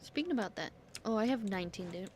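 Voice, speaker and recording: A woman's voice: mid-range voice, lively intonation, quick pace, darkish tone, slight background noise. A woman streaming a game, muttering blunt, slangy commentary to herself. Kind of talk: casual gameplay commentary. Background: faint game music, keyboard clicks.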